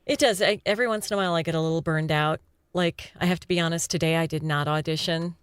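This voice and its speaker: A middle-aged woman from Wisconsin with a Wisconsin accent, a voice actor talking candidly and self-deprecatingly, laughing and clearing her throat often. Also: low voice, fast, dry recording. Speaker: voice actor guest. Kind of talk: casual chat.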